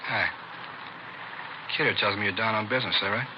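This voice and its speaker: An actor playing a big, wary, surly drug pusher with curt, challenging street slang in a 1950s radio crime drama. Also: male voice, fairly low pitch, a little fast, lightly acted. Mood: wary, probing. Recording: dull, noisy vintage radio recording.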